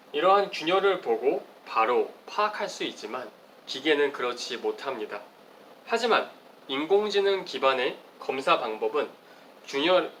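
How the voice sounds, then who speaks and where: A man's voice: a little high, a bit bright. Man, research presenter, formal explanatory delivery, very varied intonation, distant mic with faint noise.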